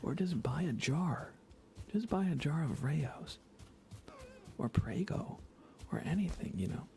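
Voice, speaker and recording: An American man speaking in soft, breathy whispers, chatting casually and unhurriedly to viewers of an ASMR livestream. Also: on the high side, animated, faint music behind. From United States. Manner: hushed, unhurried, conversational.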